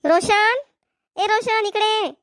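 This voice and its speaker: A woman's voice: extremely high pitch, takes it slowly, a bit bright, no echo, clean studio sound, exaggerated voice. A woman voicing a plain-spoken middle-aged village mother in a family cartoon, with a Varhadi Marathi accent. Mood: homely. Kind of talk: cartoon family comedy voice acting.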